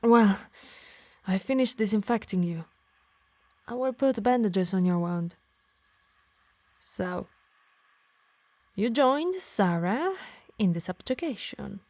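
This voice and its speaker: A woman's voice: medium pitch, animated, takes it slowly, long pauses, darkish tone, dry recording. A woman playing a possessive, teasing harpy healer who scolds fondly in an ASMR roleplay. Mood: exasperated. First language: Italian.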